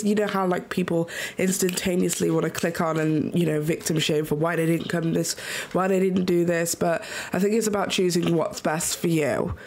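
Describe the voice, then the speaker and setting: A woman's voice: lowish voice, a little mellow. A woman interviewing a young guest, a little sick and nasal, earnest and supportive with frequent ums. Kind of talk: video interview.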